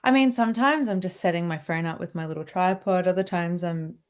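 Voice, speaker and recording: A woman in her thirties chats as a radio guest, her voice sitting a little low. She talks loosely, circling back on her words and laughing often. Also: a little fast, clean tone. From Australia. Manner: chatty, laughs often, many restarts and fillers. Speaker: guest violinist. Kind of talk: casual radio interview.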